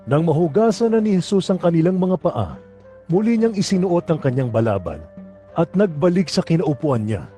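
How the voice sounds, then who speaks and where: A man's voice: fairly high pitch, big pitch swings, moderate pace, mellow, soft background music. A man narrating scripture for an audio drama in a scripted storytelling style.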